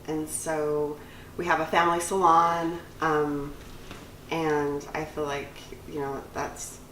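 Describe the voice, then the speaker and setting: A woman with a notably low voice for her sex, in her late thirties, talks in a rambling way full of "um" and "like" as she co-hosts a casual vlog. She is recorded at a distance in an echoey room with faint room noise. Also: little pitch movement, slow, fairly bright tone. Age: 30s. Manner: rambling, filler-heavy. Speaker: cosmetologist and instructor, channel co-host. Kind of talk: casual chat.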